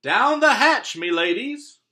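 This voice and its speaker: A man voicing a blustering, hearty pirate captain from a children's storybook, exclaiming loudly in pirate slang. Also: extremely high pitch, expressive, slow, echoey room, voice pushed up, full character voice. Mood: roaring.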